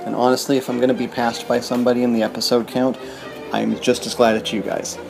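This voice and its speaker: A man's voice: mid-range voice, level tone, fast talker, creaky. A man, a podcast host, leaving a friendly, casual congratulatory message. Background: music.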